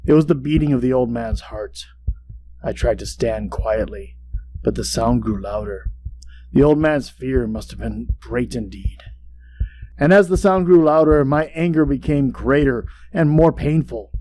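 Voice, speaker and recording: A man playing an obsessive, boastful mad murderer who narrates a gothic horror tale in a dramatic, deliberate way. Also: medium pitch, soft background music, close to an everyday voice, low-quality recording. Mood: mounting dread. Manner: dramatic, deliberate, swinging between hush and outbursts. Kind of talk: theatrical gothic horror storytelling. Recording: clear background hum and noise.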